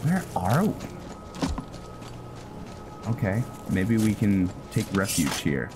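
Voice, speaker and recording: Man, low voice, halting game commentary, thinking aloud, loud music underneath. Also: sing-song. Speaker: gameplay host and roleplayer. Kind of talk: casual roleplay game commentary.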